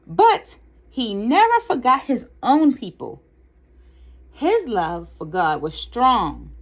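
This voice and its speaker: A woman reading a children's Bible story aloud as a storyteller, with deliberate, careful and expressive phrasing. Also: mid-range voice.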